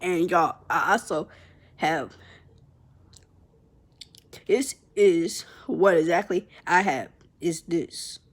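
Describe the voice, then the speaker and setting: Slow, halting young woman who stutters. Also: on the low side. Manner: halting and hesitant, with many pauses and restarts; addresses viewers as y'all. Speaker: vlogger. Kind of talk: personal vlog, talking to viewers.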